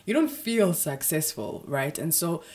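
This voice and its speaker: A woman hosting her own podcast, talking casually with a slightly raspy voice from a sore throat, filling her speech with "you know" and "um". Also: deep voice, animated, a little slow, a little mellow. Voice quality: husky, raspy. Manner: chatty, frequent "you know" fillers. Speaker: podcast host. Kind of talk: casual solo podcast talk.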